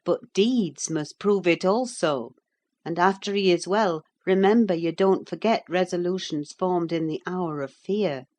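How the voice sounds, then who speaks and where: A woman's voice: fairly low pitch, a little slow, a little mellow. Woman playing a firm, protective woman servant. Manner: firm, admonishing. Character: protective, plain-spoken woman servant, sensible and scolding. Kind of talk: audiobook character voice.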